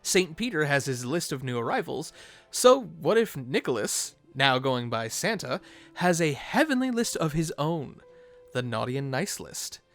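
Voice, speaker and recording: A man's voice: fairly high pitch, very animated, measured pace, vocal fry, a bit bright, soft background music. A man narrating an informal fan video essay, with playful, conversational asides.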